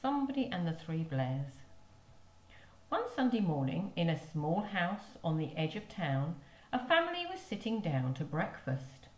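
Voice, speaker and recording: A woman narrates a children's storybook in a lowish, highly expressive voice. She is recorded in a roomy space with noticeable echo. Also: a little slow. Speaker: primary school story reader.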